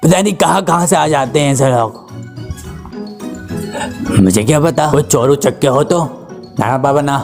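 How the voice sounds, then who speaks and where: A man's voice: a little high, a little slow, creaky, long pauses, darkish tone, faint music behind. Man acting a callous, curt hotel owner. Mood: scornful. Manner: scornful, curt. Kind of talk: Hindi moral story voice acting.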